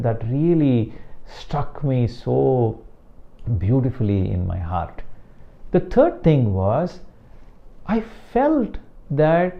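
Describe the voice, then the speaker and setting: An older man from South India with a Tamil-accented English, a meditation teacher speaking slowly and deliberately about his guru. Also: on the high side, gravelly, highs cut off. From Chennai, South India. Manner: slow, deliberate, emphatic on key words. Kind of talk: reflective talk to camera.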